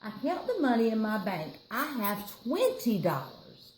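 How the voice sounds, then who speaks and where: American woman, library storytime reader, animated intonation, chatty and explanatory, roomy echo. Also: a little high, measured pace. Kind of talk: reading a picture book aloud with chatty asides to children. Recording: roomy echo, faint background noise.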